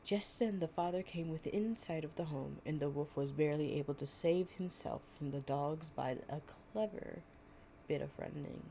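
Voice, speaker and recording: A low, soft-edged, mellow voice from a young woman acting out an affectionate, chatty girlfriend. She talks in a casual, slangy, playful way, as in an intimate bedtime storytime roleplay. There is faint noise behind her. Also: moderate pace. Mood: gentle reading.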